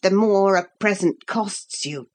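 A gentle, wise older man from a children's storybook, read by a woman. He speaks slowly and kindly, like a patriarch. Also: mid-range voice, natural voice.

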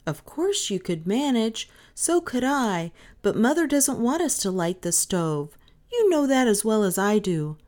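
A protective young storybook brother, as a woman reader acts him. He sounds earnest and serious. His voice sits a little high and moves expressively, yet stays careful. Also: sing-song, measured pace, darkish tone. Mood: cautious, firm.

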